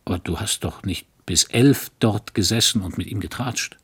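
A man plays a good-natured, plodding small-town doctor who rambles on and grumbles mildly. His voice is low and husky. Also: moderate pace, fairly bright tone. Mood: gossipy scoffing. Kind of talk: audiobook reading.

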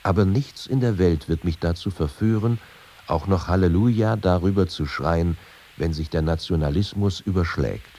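An actor playing a sober, wry émigré writer, reading in a measured way for a radio feature. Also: male voice, low-pitched, warm tone, a little hiss or hum. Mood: sober, cautioning. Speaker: actor reading quoted memoirs and letters.

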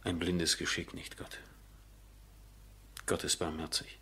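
An actor playing a grieving, restrained major in a radio play, husky and often breathy. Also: male voice, deep voice, little pitch movement, slow, sharp tone, heavily acted. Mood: tender, subdued. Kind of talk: German radio play acting.

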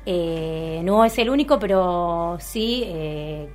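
A woman's voice: on the low side, animated, slow pace, darkish tone. An Argentine woman co-hosting a radio show, commenting casually and hesitantly as she searches for words. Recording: music bed under the voice. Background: music.

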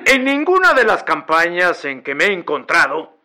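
An actor playing a proud Mexican revolutionary general, giving formal, emphatic testimony in a radio drama. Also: male voice, sits high, big pitch swings, moderate pace, a crowd in the background. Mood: grave, emphatic.

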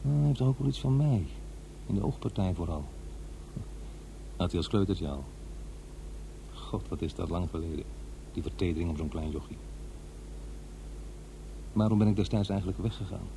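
Mellow, musing middle-aged father. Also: sits low, animated, normal speed, creaky, pauses often, a little hiss or hum, poor audio. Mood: musing, wry. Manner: musing, hesitant, talking to himself. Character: middle-aged divorced father, reflective, wry, recounting his memories. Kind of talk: radio drama monologue, conversational and introspective.

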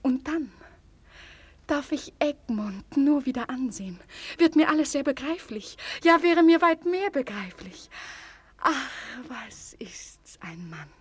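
An actress playing a spirited young woman in love and torn between two men, theatrical and breathy, often dropping to a near-whisper, in a vintage German radio play. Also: high-pitched, slow. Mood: wistful, enamoured. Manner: theatrical, emotionally expressive. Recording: vintage 1949 radio recording.

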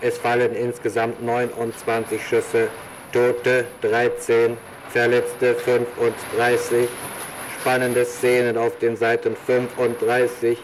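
A male actor voices a soulless automaton from a 1950s radio comedy. It recites mechanically, very slowly and without inflection. Clear noise runs behind the voice. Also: mid-range voice, natural voice. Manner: flat, mechanical, reciting figures. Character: soulless crime-calculating automaton.

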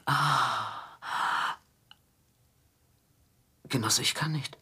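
A woman's voice: extremely low pitch, unhurried, pushed and bright, slightly rough, exaggerated voice. An actress playing an anxious, guarded female secret agent in a radio drama, acting and narrating in the first person. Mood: apologetic, nervous.

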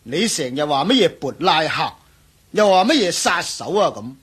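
An actor playing an indignant professor in a radio drama, huffy and exclaiming, with a Hong Kong accent. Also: male voice, a little high, very animated, normal speed, fairly bright tone, slightly rough, full character voice. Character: indignant male professor, held at gunpoint, protesting innocence. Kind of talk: Cantonese radio drama acting.

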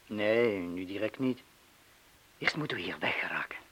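An actor with a Flemish accent playing an anxious, protective man in a radio drama, speaking haltingly and urgently. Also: lowish voice, animated, fairly bright tone, breathy edge. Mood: subdued. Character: anxious, protective man urging his lover to leave. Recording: hissy old radio play recording.

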